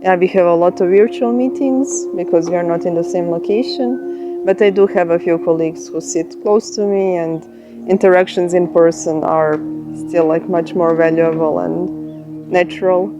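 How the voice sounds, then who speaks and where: A woman in her thirties with a Croatian accent, a software engineer speaking in a documentary interview, thoughtful with pauses and restarts. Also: on the low side, fairly even intonation, lo-fi sound. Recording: music bed under the voice.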